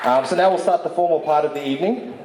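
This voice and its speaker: A man acting as moderator, briskly introducing speakers at a community forum. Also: on the high side, over a noisy crowd. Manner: brisk, announcing. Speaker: event moderator. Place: community event hall. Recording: echoey hall with faint noise.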